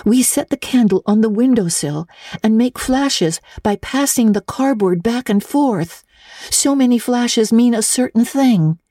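A woman's voice: fairly high pitch, expressive, measured pace, mellow, dry recording, clean studio sound, pitched above the performer's own voice. A woman voicing an eager, imaginative young girl in a storybook reading, breathless, gushing and earnest as she pleads. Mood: eager, urgent. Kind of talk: dramatic audiobook reading of classic literature.

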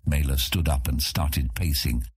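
Very deep, husky male story narrator. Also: measured pace, no room sound, clean studio sound. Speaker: audiobook narrator. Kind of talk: scripted fantasy story narration.

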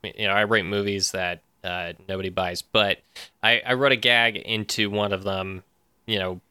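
A man's voice: lowish voice, steady tone, moderate pace, bright, forceful tone, clear, resonant tone, dry recording. A wry, self-deprecating man chatting casually as a guest in a podcast interview. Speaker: podcast guest, show co-creator.

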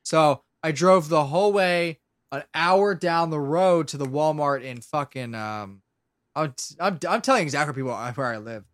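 A man's voice: on the high side, moderate pace, fairly bright tone, no room sound, studio quality. A man in his late twenties with an American accent chats casually on a podcast, rambling, swearing and often interrupting himself. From Pennsylvania, US. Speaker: podcast guest, show creator and musician.

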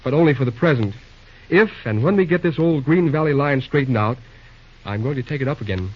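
An actor playing a brash, plain-spoken young railroad superintendent in an old-time radio drama, blunt, slangy and prone to stammer. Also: male voice, mid-range voice, animated, fairly quick, husky, dull audio. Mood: firm, earnest.

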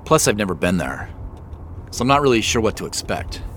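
An actor playing a soft-spoken, remorseful younger brother in an audio drama, hesitant and self-deprecating in a natural way. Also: male voice, on the low side, very animated, brisk. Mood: casual, low-key. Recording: faint road noise behind voice. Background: car driving ambience.

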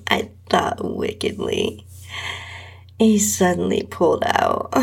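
Woman narrator, very breathy near-whisper, creaky edges, sultry drawn-out delivery, intimate adult storytelling. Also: medium pitch. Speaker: podcast host and story narrator. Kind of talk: intimate first-person erotic audio story narration.

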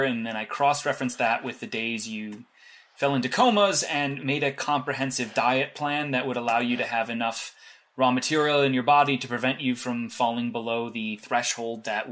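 A man's voice: medium pitch, a bit bright, close to an everyday voice. A man playing an analytical superhero mastermind in an audio drama, with a precise, explanatory way of talking. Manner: precise, explanatory, matter-of-fact. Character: cerebral analytical male superhero mastermind guiding a young intern.

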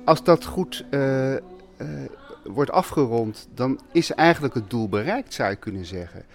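A man in an interview, reasoning aloud in a measured, analytical way. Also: mid-range voice, lively intonation, faint music behind.

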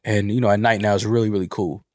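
A man's voice: fairly low pitch, fast talker, dry recording, clean studio sound. Nasal, congested man chatting on a podcast. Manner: animated storyteller who laughs often and swears casually. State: a little nasal from a cold. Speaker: podcast co-host. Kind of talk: casual chat.

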